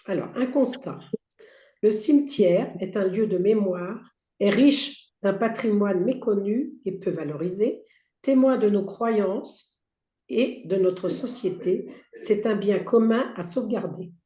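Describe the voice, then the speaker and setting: A hoarse woman who has lost part of her voice presents slides for a heritage federation, reading out points with many fillers and restarts. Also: mid-range voice, unhurried, warm tone. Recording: roomy echo.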